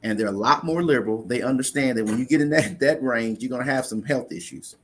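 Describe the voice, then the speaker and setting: A man's voice: mid-range voice, fast, a little hiss or hum. A man in an interview, earnestly explaining money matters in a conversational way, often restarting his sentences. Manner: earnest, explanatory, repeats words when restarting. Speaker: insurance investment guest.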